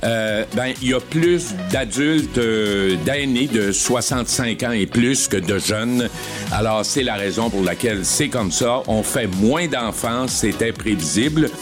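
A man's voice: medium pitch, unhurried. A man with a Quebec French accent giving an informal, conversational morning radio news briefing. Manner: informal, addresses co-host directly. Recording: music clearly playing behind voice. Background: instrumental music.

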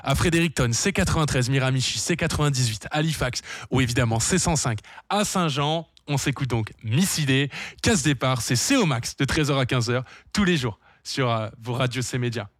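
A man from France hosting a casual radio show from a studio, chatting with listeners and often pausing on "euh". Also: medium pitch, no room sound. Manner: chatty, lively, many fillers. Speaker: radio host.

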